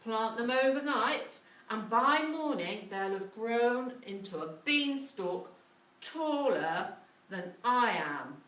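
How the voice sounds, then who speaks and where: Woman as a sly little trader man, animated. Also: on the high side, slow pace, reverberant, recorded from a distance, rough recording. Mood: boastful. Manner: persuasive patter.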